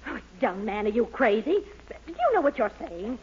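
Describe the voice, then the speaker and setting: An actress playing a prim, indignant, easily scandalized aunt in a 1940s radio sitcom. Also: female voice, a little high, very animated, normal speed, a little mellow. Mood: shocked. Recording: muffled, noisy old 1940s radio recording.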